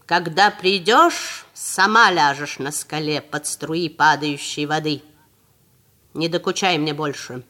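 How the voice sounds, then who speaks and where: A woman's voice: deep voice, pushed and bright, voice pushed down, heavily acted. A woman reading a stern male mountain spirit. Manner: slow, commanding, threatening.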